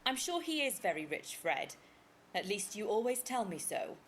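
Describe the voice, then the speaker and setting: An actress playing a lively, teasing young wife. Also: female voice, mid-range voice, moderate pace, slight background noise, close to an everyday voice. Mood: scornful.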